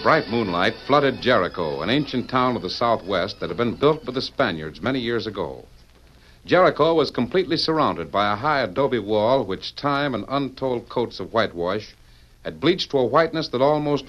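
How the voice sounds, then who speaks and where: A man's voice: medium pitch, expressive, normal speed, a bit bright, dull audio. A man narrating a radio adventure in a dramatic, declamatory 1940s style. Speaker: announcer and narrator. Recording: hissy vintage radio recording.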